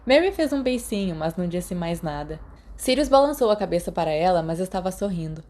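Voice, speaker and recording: A woman's voice: lowish voice, big pitch swings, a little fast, darkish tone. A woman with a Brazilian accent reading scripted story narration as an audiobook narrator.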